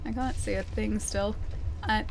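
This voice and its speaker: A woman's voice: medium pitch, fairly even intonation, a little slow, gravelly. A woman with an Australian accent chatting casually while she plays a video game, giggling and joking at herself. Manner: chatty, giggly, self-mocking. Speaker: streamer. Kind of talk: casual gameplay commentary. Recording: noisy game stream audio.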